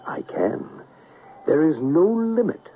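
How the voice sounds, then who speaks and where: Theatrical alien scoundrel, sweeping pitch, old radio. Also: male voice, very animated. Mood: proud, taunting. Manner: theatrical, measured, declamatory. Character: worldly exiled alien scoundrel, commanding and gently paternal. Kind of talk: 1970s radio drama acting. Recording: muffled, noisy old radio broadcast.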